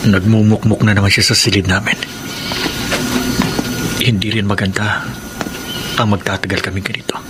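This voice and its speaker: An actor playing an exasperated, apologetic unfaithful husband in a Filipino radio drama, speaking in an earnest, coaxing way. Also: male voice, on the low side, flat delivery, moderate pace, long pauses, lightly acted. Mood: weary, impatient. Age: adult. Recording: very loud background noise.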